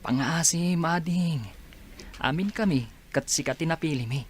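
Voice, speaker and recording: A man's voice: fairly high pitch, moderate pace, slight background noise, natural voice. A man playing a friendly young student athlete in a radio drama, pleading and coaxing as he talks.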